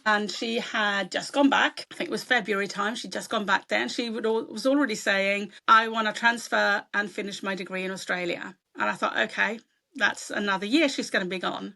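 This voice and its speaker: Bright, gravelly middle-aged woman from Sweden. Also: a little high. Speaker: author guest. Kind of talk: casual interview chat.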